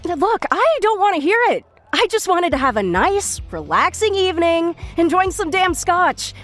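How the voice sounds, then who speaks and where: Woman playing a high-voiced, scornful metalhead chick. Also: big pitch swings, moderate pace, a bit bright, heavily acted. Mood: exasperated. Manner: biting, sarcastic, exasperated. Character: scornful, foul-mouthed young female metalhead at a bar. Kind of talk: audio drama acting.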